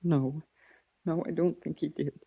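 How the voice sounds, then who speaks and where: A woman's voice: deep voice, fairly even intonation, measured pace, gravelly, slightly rough, no echo, exaggerated voice. An actress playing a grieving, guarded middle-aged mother in a weary, mournful 1940s radio drama manner. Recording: dull, dry vintage 1940s radio recording.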